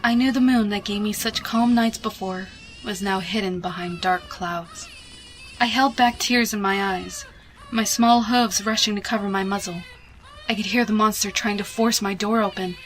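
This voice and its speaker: A woman giving a hushed, expressive, dramatic reading of a suspense story, with music behind her. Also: medium pitch, normal speed, slight background noise, poor audio. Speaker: fan fiction reader.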